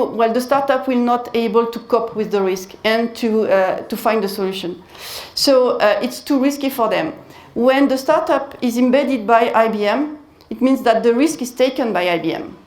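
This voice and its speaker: A woman's voice: on the high side, darkish tone. Woman presenting in an echoey conference hall. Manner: measured, repeats key phrases. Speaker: conference speaker. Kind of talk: business presentation with Q&A. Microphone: handheld mic.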